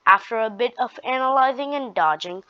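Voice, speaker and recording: A woman reading her own story aloud, halting often and restarting her sentences. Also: fairly high pitch, lively intonation, smooth, dry recording. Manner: halting, with frequent restarts and repeated words. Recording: dull, dry lo-fi recording.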